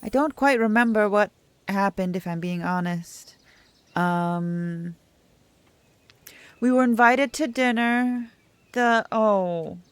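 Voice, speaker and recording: A woman's voice: medium pitch, slow pace, long pauses, clear, resonant tone, no room sound. A young adult woman streaming a game, chatting in a rambling, self-correcting way and reading the text aloud. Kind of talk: casual let's-play chat and reading game text aloud.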